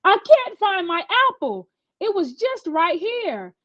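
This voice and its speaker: A woman acts out a sweet, nervous schoolgirl from a children's storybook. The voice is high and swings up and down in pitch. She talks earnestly and tends to blurt, and the recording is dry and a little dull. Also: big pitch swings, slow pace, fairly bright tone, no room sound, exaggerated voice. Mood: upset. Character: anxious, sweet schoolgirl who is easily upset.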